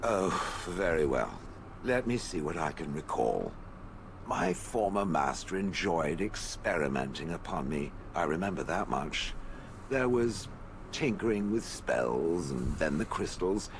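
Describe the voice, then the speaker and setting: An actor playing a sardonic stone golem from a fantasy video game, haughty and contemptuous of people. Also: male voice, deep voice, big pitch swings, slight background noise, exaggerated voice. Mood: musing, guarded. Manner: haughty, sardonic, deliberate phrasing.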